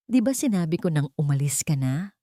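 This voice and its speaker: A woman's voice: low voice, very animated, a little fast, warm tone, no echo, studio quality. Woman acting a cold, anguished rich man. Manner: cold, curt. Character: stern, anguished wealthy man, cold and angry son.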